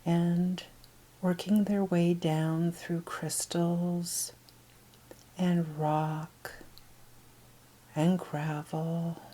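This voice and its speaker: Slow, low, mellow woman leading meditation. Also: level tone. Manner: slow, gentle, unhurried counting and pauses. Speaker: guided meditation leader. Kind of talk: guided meditation, soothing instruction.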